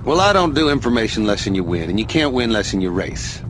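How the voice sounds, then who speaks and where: A man's voice: fairly low pitch, very animated, quick pace, low-quality recording. An actor playing a cocky, swaggering male space racer who taunts with a showy manner, in a game cutscene. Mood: taunting. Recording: game cutscene with loud music.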